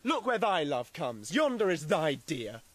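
Young male suitor, animated pitch, unhurried theatrical verse, proud rival in radio play. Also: full character voice. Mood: dismissive. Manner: theatrical, drawn-out verse delivery. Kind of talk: classical Shakespearean radio acting.

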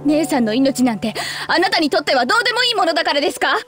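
A woman's voice: high-pitched, very animated, rapid-fire, music in the background. An actress playing a bitter, grieving young girl in a Japanese video game, speaking in cold, accusing, formally polite sentences. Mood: accusing, angry.

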